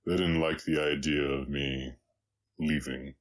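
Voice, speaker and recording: A man voicing a brooding, remorseful orc cowboy outlaw with a slight Southern US accent, speaking haltingly and somberly in an intimate confessional roleplay. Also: rumbling low, steady tone. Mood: pained, remorseful. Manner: halting, somber, long pauses. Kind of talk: intimate scripted roleplay audio, confessional storytelling.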